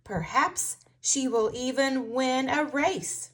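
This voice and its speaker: Female children's storybook reader: bright voice, unhurried pace, expressive and clearly articulated delivery for little ones. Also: sits high. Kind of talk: animated read-aloud for young children.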